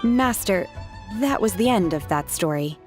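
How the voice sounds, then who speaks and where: A woman voicing a calm, earnest young female disciple in a video game, measured and reserved. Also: mid-range voice, faint music behind, lightly acted. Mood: gentle. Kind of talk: anime video game voice acting.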